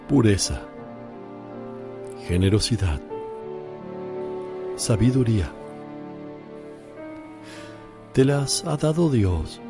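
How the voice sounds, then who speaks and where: A man playing a slow, solemn Jesus. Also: fairly low pitch. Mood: solemn blessing. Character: Jesus, calm gentle male teacher and preacher. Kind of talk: radio drama acting.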